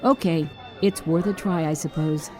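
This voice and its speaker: A woman's voice: deep voice, fairly even intonation, normal speed, over music, natural voice. A woman playing a wary, protective mother with a dry wit in an audio drama. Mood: resigned, wry.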